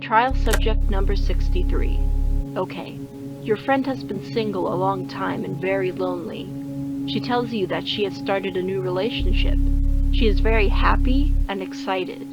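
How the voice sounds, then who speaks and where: An actress playing a calm woman doctor, interviewing trial subjects in a neutral, procedural way. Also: medium pitch, normal speed, loud music behind the voice, lightly acted, rough recording. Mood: clinical, calm. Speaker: research interviewer. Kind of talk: scripted recorded clinical interview in audio drama.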